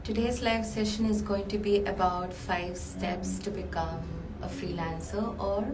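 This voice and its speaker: A tired, hoarse woman hosts a live session as a business coach, chatty with many ums and uhs, speaking from a small cold cabin. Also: medium pitch, steady tone, unhurried. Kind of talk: live-streamed talk. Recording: roomy, far from mic, noisy.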